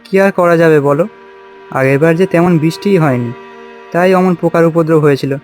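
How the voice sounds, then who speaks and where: A man's voice: a little high, a little fast, a little mellow, faint music behind, close to an everyday voice. A man voicing a hardworking village farmer in a cartoon, with a folksy, exclamatory way of talking. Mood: hopeful. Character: hardworking village farmer husband, hopeful and plain-spoken. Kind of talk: cartoon voice acting.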